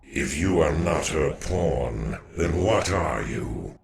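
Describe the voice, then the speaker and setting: A man voicing a grave, indignant alien warrior elder in a game, gravelly, solemn and accusing. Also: a bass voice, expressive, takes it slowly, a little hiss or hum, heavily acted. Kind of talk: dramatic game dialogue. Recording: echoey, with music behind.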